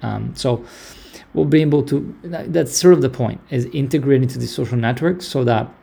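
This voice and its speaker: Man, host, live audio chat, gravelly, dark tone, halting with restarts. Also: mid-range voice. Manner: halting, many fillers and restarts. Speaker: host of an online audio discussion. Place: online audio room.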